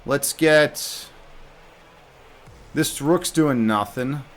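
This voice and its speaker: A man's voice: on the high side, extremely slow, soft background music. A man giving casual live commentary on his own game as a streamer, muttering half-finished thoughts to himself as he thinks aloud.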